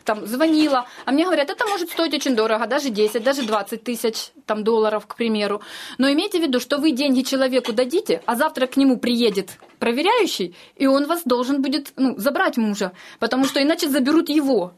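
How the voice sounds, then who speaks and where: Fast-talking middle-aged woman, higher-pitched voice. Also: animated. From eastern Ukraine. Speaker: interviewee telling her family's war story. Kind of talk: conversational personal recollection.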